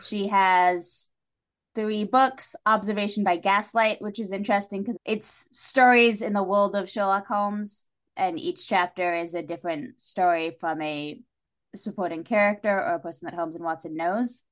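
A woman's voice: mid-range voice, fairly even intonation, normal speed. Female podcast guest, conversational and enthusiastic, wry fan with a playful streak. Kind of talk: casual podcast chat.

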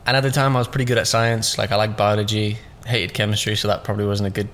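Young guy, rambling podcast guest chat, a bit low, faint room hum behind. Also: little pitch movement, quick pace, a bit bright. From born in Russia, raised in southeast London. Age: 20s. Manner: loose and rambling, many fillers and "like"s. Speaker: podcast guest, business owner. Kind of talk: casual interview chat.

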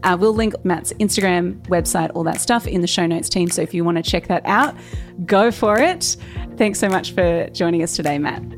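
A woman's voice: lowish voice, moderate pace, faint music behind. A woman hosting a podcast, upbeat and encouraging as she thanks her guest and speaks to her listeners. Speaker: podcast host. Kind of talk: podcast interview and scripted outro.

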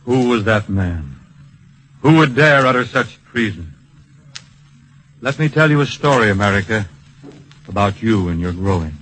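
An actor playing an earnest, solemn storyteller, narrating in poetic phrases with dramatic pauses in an old-time radio drama. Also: male voice, on the low side, animated, a bit bright, husky, exaggerated voice, low-quality recording. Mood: indignant. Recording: vintage radio broadcast with music.

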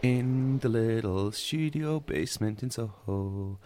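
A man's voice: fairly low pitch, slow, background noise. A man hosting a podcast from a small basement studio, chatty and jokey, rambling a little as he talks. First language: English only. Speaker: podcast host. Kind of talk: casual podcast interview.

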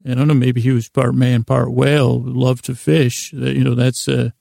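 A man rambles through a whimsical sleep podcast monologue in a deeply gravelly, creaking voice. His tone is dark and relaxed, recorded close and dry. He halts, loses the thread and circles back in an absent-minded way. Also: no room sound. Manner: meandering, halting, absent-minded, trailing off and doubling back. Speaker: podcast host.

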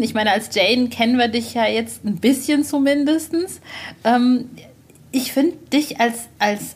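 A woman interviewing a guest for a podcast in a casual, informal way, with rambling questions. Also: on the high side.

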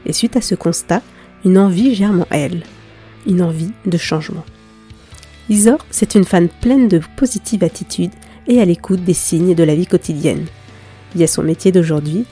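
A woman with a cold and a nasal voice, hosting a podcast and gently interviewing a guest. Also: lowish voice, breathy edge. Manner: gentle, hesitant questions with fillers. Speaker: podcast host.

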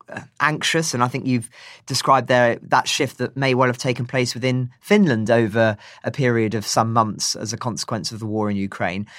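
A man's voice: mid-range voice, a bit bright, dry recording, studio quality. A man hosting an interview podcast, putting questions in a polite, articulate and fairly formal way.

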